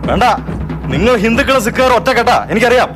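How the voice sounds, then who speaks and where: A man playing a bitter, quarrelsome man in a radio play, fiery and suspicious. His voice is very high and he fires his lines off quickly. He argues in a combative, hostile tone. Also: expressive, music in the background. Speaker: Abdullah, an angry customer. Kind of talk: Malayalam radio drama acting.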